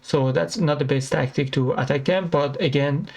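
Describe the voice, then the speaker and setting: A man from Iran, a non-native English speaker, giving a casual reaction commentary with frequent fillers and halting restarts. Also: fairly high pitch, steady tone, gravelly, darkish tone. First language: Persian. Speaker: commentary channel host.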